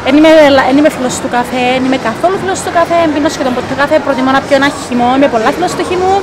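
High-voiced Cypriot woman vlogging in a mall. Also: poor audio. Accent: Cypriot Greek. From Cyprus. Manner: lively, chatty, quick to laugh and correct herself. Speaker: vlog host. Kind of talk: casual vlog chat. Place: indoor shopping mall. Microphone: handheld camera at arm's length.

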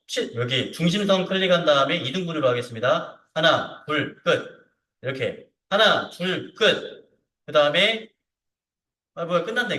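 Bright-voiced male software instructor, animated, roomy. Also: a little high. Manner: informal, frequently seeks confirmation with short tag questions. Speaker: CAD instructor. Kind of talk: hands-on software tutorial to students. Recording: roomy echo, distant mic.

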